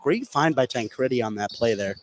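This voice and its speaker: A man's voice: mid-range voice, lively intonation, a little fast. A man giving live basketball analysis as a color commentator in a conversational, explanatory way. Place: indoor gym during a live game. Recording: faint gym noise behind.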